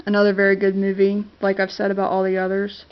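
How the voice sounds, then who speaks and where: A congested woman with a cold talks casually to her camera about movies she loves, hesitant and full of fillers. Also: mid-range voice. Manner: hesitant, many fillers and restarts. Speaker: vlogger reviewing favorite movies. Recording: dull lo-fi camera audio.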